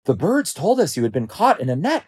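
A man's voice: on the high side, wildly swooping pitch, a little fast, a little mellow, husky, voice pushed up, exaggerated voice. A man voicing concerned, helpful lions in a storybook, with an eager manner. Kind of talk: storybook character voice.

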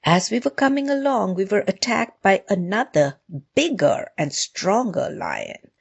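A woman's voice: medium pitch, wildly swooping pitch, a little slow, gravelly, breathy edge, muffled recording, voice pushed up, heavily acted. A woman voicing a small, meek but clever rabbit, polite and pleading, in a storybook tale.

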